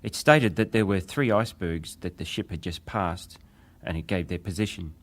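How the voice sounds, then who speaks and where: An actor playing a young British wireless operator giving testimony in a formal, deferential, precise way, as in a dramatized hearing. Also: male voice, deep voice, moderate pace. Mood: precise, factual.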